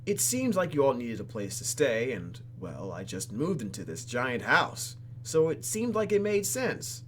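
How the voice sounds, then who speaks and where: A man voicing the hesitant, kind young heroine of a visual novel game in an earnest, halting way. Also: mid-range voice, very animated, fairly bright tone, a little hiss or hum.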